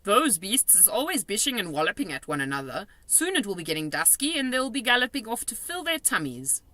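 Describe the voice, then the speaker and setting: A woman's voice: medium pitch, big pitch swings, a little fast, bright, forceful tone, no room sound. A woman voicing a kindly male storybook giant who explains things earnestly in muddled grammar. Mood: mildly nettled.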